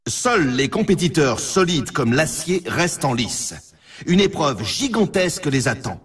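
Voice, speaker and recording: A man's voice: on the high side, big pitch swings, measured pace, a bit bright, recorded from a distance. A man playing a grandiose, emphatic announcer for an extreme snowboarding championship in a video game. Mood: grandiose, solemn. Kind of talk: scripted dramatic sports game announcing.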